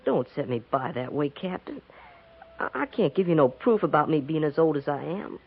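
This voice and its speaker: An actor playing an earnest, pleading young frontier farm boy with a rural American accent and a halting, folksy manner in a 1950s radio western. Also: on the high side, very animated, vocal fry, husky, heavily acted. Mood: anxious pleading. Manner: halting, folksy, stammering when pressed. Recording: dull 1950s radio recording.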